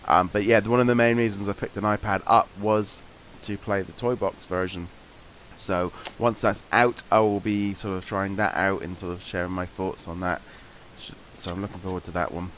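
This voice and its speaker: A man co-hosts a webcast in a casual, conversational style. His voice sits a little low. He offers his own take over a faintly hissy connection. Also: steady tone, moderate pace, a bit bright. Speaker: webcast co-host. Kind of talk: casual chat, giving opinions. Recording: hissy, noisy online webcast audio.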